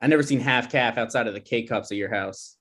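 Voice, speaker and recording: A man plays a touchy grown son and socially awkward host in a comedy read-through. He is defensive and quick to argue, speaking in an everyday conversational voice. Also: mid-range voice, a bit bright, slightly rough. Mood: testy. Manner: defensive, argumentative. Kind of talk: comedy script table read.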